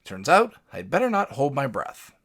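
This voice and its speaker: Male podcast host, medium pitch, highly varied intonation, scripted commentary narration. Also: wildly swooping pitch, moderate pace, a bit bright.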